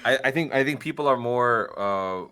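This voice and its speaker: A man's voice: medium pitch, normal speed, bright, forceful tone, clean tone. A young man, a voice actor guest on a podcast, chatting casually in a playful, self-deprecating way.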